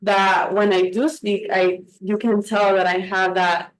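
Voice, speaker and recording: A woman's voice: medium pitch, flat delivery, a little slow, poor audio. Bilingual young woman with a Spanish accent. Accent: Mexican Spanish. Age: young adult. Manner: reflective, speaks in long winding stories with restarts. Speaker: podcast co-host. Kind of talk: casual conversation.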